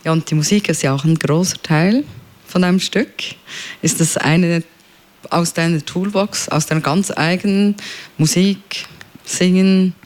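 Radio host, friendly and upbeat, asks open questions, mid-pitched voice. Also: unhurried. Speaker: radio interviewer. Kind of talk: radio interview. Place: radio studio.

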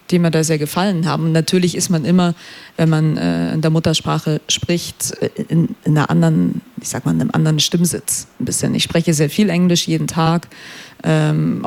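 Young guy on the radio, kinda high voice with some fry, thinks out loud, lots of ähm. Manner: thoughtful, searching for words, many fillers. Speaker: performing artist guest. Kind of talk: reflective radio interview answers. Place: radio studio.

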